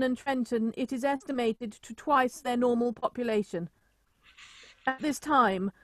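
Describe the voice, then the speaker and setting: A woman playing a grave radio news announcer, reading emergency bulletins in a formal, measured way for a radio play. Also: sits high, dry recording, lightly acted.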